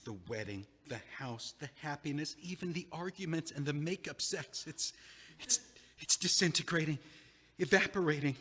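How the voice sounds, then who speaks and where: A shaken young man who stumbles over his words, stammering in a somewhat high voice. He sounds fragile and dazed in this audio drama. A long reverb trails behind each line. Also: moderate pace, a bit bright.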